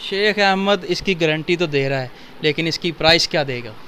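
A man hosts a promotional interview, talking with a bright, forceful edge and a slightly high voice. He is upbeat and quick with his questions, speaking into a handheld mic in a small indoor cloth warehouse with faint noise behind. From Pakistan. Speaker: interviewer presenting a shop.

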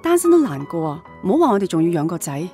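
Young wife and mother, practical and emotional, lively intonation, radio drama. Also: fairly low pitch, racing, faint music behind. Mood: weary, pragmatic. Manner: earnest, reasoning, emotional. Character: practical wife and mother, worried about family finances.

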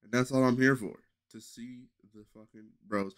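A young man with a low voice chatting loosely to his stream audience from a headset in his bedroom, rambling with many fillers and restarts. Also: fairly even intonation, moderate pace. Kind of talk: casual live-stream chat. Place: small bedroom streaming setup indoors.

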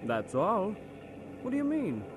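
Eager pal, very lively intonation, breezy, radio drama, faint noise. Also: male voice, high voice, unhurried, full character voice. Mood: excited, friendly. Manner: breezy, persuasive. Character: eager, chummy young Scottish pal. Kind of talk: old radio drama acting.

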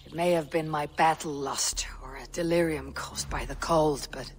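An actress playing a steady, plain-spoken female Viking warrior in a game cutscene. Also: low voice, normal speed, a little hiss or hum, lightly acted. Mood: hesitant.

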